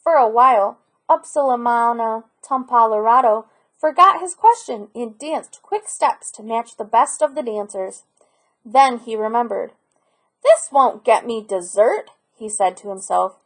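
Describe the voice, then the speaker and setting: A woman reading a children's picture book aloud in an expressive storytelling manner. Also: fairly high pitch, unhurried, low-quality recording.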